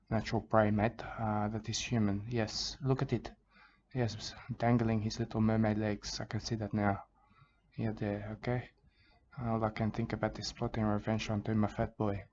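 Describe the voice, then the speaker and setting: Aussie bushman, hushed flat mumble, low voice, dull lo-fi sound, as a man puts him on. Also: normal speed, a little hiss or hum, close to an everyday voice. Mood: hushed rambling. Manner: hushed, mumbling, repeats "mate" and "I can tell you". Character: rambling male Aussie bushman, soft-spoken, plotting revenge. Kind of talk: parody soothing wildlife narration. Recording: dull lo-fi recording.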